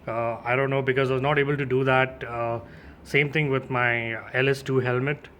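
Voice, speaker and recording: A man's voice: mid-range voice, level tone, normal speed, a bit bright, lo-fi sound, a little hiss or hum. A man giving a casual, informal unboxing and demo as a gear reviewer, addressing his viewers directly.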